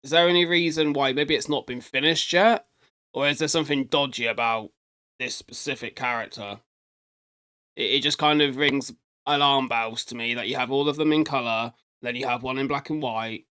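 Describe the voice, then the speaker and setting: A man's voice: medium pitch, fairly even intonation, moderate pace, sharp tone, clear, resonant tone. Male reaction host, casual and chatty, rambling commentary, mid-range voice, speaks directly to viewers.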